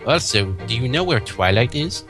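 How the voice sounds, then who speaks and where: A man's voice: mid-range voice, lively intonation, moderate pace, music in the background, lightly acted. A man voicing a brash, casual female pegasus pony in an amateur story reading. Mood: curious.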